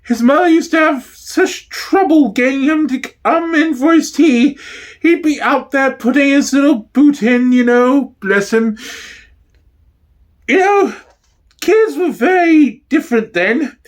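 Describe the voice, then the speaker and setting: A man's voice: extremely high pitch, measured pace, pitched above the performer's own voice. A man voicing a cheery, nostalgic elderly East End woman who gossips fondly, in a comedy sketch. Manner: chatty, gossipy, blithely cheerful.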